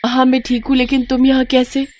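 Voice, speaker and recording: A woman's voice: high voice, monotone, brisk, darkish tone, a little hiss or hum, pitched above the performer's own voice, close to an everyday voice. Woman acting a meek young daughter-in-law. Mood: polite. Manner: polite, pleading protest.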